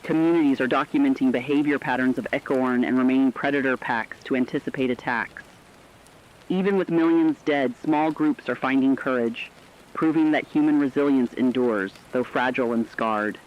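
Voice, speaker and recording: A man's voice: medium pitch, normal speed, muffled recording. A man narrating a scripted emergency radio broadcast as a newscaster, with grave, solemn delivery. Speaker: radio newscaster in a horror story.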